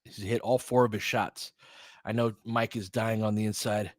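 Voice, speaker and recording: A man's voice: on the low side, flat delivery, normal speed, no room sound, clean studio sound. A man hosting casual live basketball commentary and analysis, thinking aloud in a conversational way. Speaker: host, fan play-by-play commentator.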